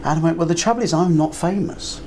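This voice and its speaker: A man's voice: fairly high pitch, quick pace. An older man, a guest writer, telling casual anecdotes in a panel conversation. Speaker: interview guest, crime writer and filmmaker. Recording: noticeable ambient noise, live event.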